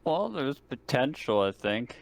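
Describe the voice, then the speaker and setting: A man's voice: medium pitch, big pitch swings, unhurried, creaky. A man bantering casually as a player in an online tabletop game. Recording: noisy online voice chat.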